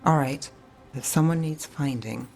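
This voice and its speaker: A woman's voice: rumbling low, measured pace, vocal fry, a little mellow, full character voice. An actress playing a dry, world-weary woman detective in a video game, wry and understated, with music behind her. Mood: dry, focused.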